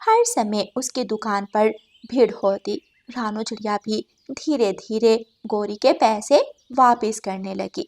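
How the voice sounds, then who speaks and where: A woman's voice: mid-range voice, slow, hardly any pauses, darkish tone. A woman reading scripted narration for a children's moral story as the storyteller.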